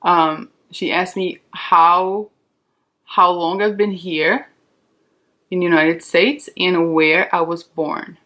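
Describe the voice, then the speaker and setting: A woman's voice: lowish voice, fairly even intonation, slow, pushed and bright. A woman in her thirties from Brazil, a Portuguese speaker, chatting in a candid, reassuring, informal way like a beauty vlogger. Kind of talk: casual chatty makeup tutorial.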